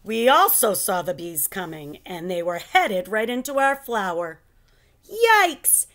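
Animated middle-aged woman reading a storybook. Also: lowish voice, normal speed. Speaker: teacher reading a children's book aloud. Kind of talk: animated read-aloud storytelling. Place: living room at home.

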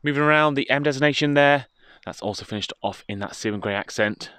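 A British man giving an informative, steady walkaround presentation as a car dealership presenter, speaking into a handheld phone outdoors. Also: mid-range voice, fast, clean tone, no room sound. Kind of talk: walkaround product presentation, semi-improvised commentary. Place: outdoors in a car park, partly inside a car.

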